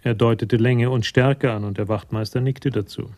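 A man's voice: medium pitch, fairly even intonation, moderate pace, darkish tone. A man narrating a German radio play in a sober, composed literary style.

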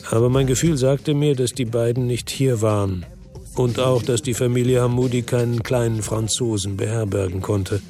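Ein Schauspieler spielt einen weltmüden Ermittler, der in einem Noir-Hörspiel knapp und grüblerisch aus der Ich-Perspektive erzählt. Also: male voice, mid-range voice, fairly even intonation, normal speed, warm tone, natural voice. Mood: brooding, weary. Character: world-weary, brooding male investigator in Marseille noir. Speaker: narrator and lead actor. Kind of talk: first-person noir radio drama narration. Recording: dry studio with music underneath.

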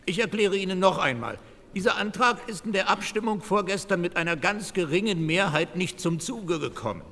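An actor voicing an earnest, indignant delegate pleading formally and insistently in a 1949 parliament drama. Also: female voice, fairly low pitch, animated, moderate pace, fairly bright tone. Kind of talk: dramatised reading of parliamentary protocol. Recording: reverberant assembly hall.

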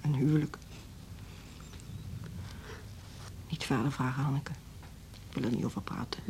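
Stiff, formal middle-aged school director. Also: female voice, very deep voice, fairly even intonation, dark tone, slight background noise. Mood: subdued, tearful. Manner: formal, measured, stern. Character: proud, stiff older female music school director, easily slighted. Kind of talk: radio drama dialogue.

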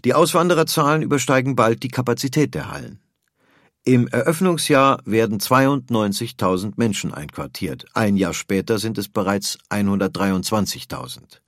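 A man's voice: mid-range voice, moderate pace, no echo, clean studio sound. A man reads scripted documentary narration as a narrator, in pronunciation.

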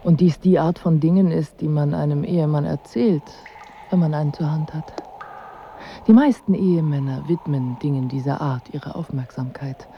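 An actress playing a languid, coolly ironic royal wife in a radio drama, with dry and formal diction. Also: female voice, sits low, moderate pace, dull audio, a little hiss or hum. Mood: dry, needling. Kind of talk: literary radio drama acting.

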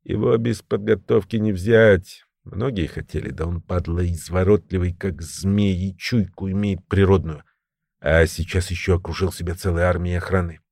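A weary crippled criminal veteran in an audiobook, acted by a man. The voice is low, creaky and labored, with short breaths and slow, stoic prison slang, recorded close and dry. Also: fairly even intonation, a little mellow, no echo. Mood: weary, resigned.

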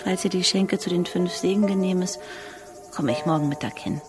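An actress in a German radio drama playing a proud, guarded young street acrobat with a dry, mocking manner. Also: female voice, lowish voice, brisk, over music, lightly acted. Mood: matter-of-fact. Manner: dry, sardonic.

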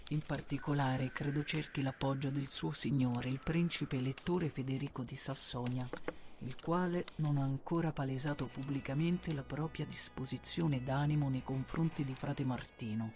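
A man's voice: mid-range voice, gravelly, background noise, natural voice. A man acting a devoted, servile informer who formally and deferentially reads out secret reports to his lord in a radio drama. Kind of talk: radio drama letter narration.

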